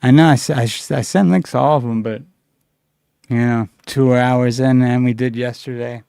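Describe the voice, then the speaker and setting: A man co-hosting a live stream, chatting in a relaxed, rambling way. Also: medium pitch, moderate pace, creaky, clear, resonant tone, no room sound, clean studio sound. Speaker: co-host. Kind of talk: casual chat.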